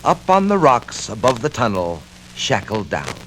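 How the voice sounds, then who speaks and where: An actor in a vintage radio serial playing a smug, scheming crime boss with a deliberate, theatrical delivery, on a noisy old record. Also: male voice, mid-range voice, wildly swooping pitch, fairly bright tone. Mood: sinister. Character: cold, scheming criminal boss, menacingly suave man. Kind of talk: 1940s radio serial acting.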